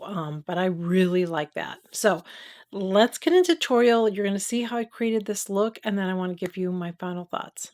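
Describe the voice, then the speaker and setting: A middle-aged woman with a nasal voice from a cold, chatting candidly through a makeup review and tutorial. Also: on the low side. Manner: chatty, candid, talks while applying makeup. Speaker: beauty vlogger.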